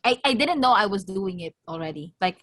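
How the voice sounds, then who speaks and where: A woman's voice: medium pitch, lively intonation, brisk, a bit bright, no room sound. A young woman with a Filipino accent co-hosting a casual podcast chat, talking frankly, laughing often and restarting mid-sentence. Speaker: podcast co-host.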